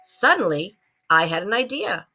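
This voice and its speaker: A woman narrates a children's storybook in a very lively, swooping voice. She acts out the story as she reads, with a musical soundscape clearly playing behind her. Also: medium pitch, normal speed, faint music behind. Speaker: librarian reading a picture book aloud to students.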